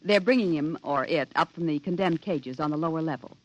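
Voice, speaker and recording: A woman's voice: low-pitched, brisk. An actress in a 1950s radio drama playing a cool, restrained woman scientist with a clipped, formal manner. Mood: businesslike. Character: cool, controlled professional woman, geneticist professor. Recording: dull vintage 1950s radio recording.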